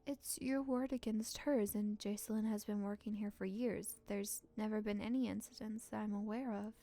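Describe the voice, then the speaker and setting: A woman voicing a stern, commanding woman of the royal household in a visual novel, formal and measured. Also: mid-range voice, steady tone, warm tone, lightly acted. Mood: skeptical, stern.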